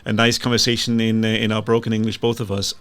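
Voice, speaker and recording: A man's voice: on the low side, completely flat delivery, quick pace, a bit bright, clear, resonant tone. A man in his fifties with a Danish accent hosting a podcast, prompting his guest and summing up the answers in a friendly way. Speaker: podcast host. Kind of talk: interview host, asking questions. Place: podcast studio, indoors. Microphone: studio mic with headphones.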